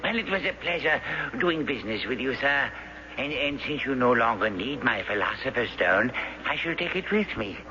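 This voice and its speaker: A courtly, philosophical gentleman played by a man in a vintage radio play. He speaks in a medium register with polite hesitations and ornate wording. The sound is dull and clearly hissy. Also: medium pitch, expressive, lightly acted. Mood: gently persuasive. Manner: polite, halting, ornate phrasing. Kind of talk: radio drama acting. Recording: muffled, hissy vintage radio drama recording.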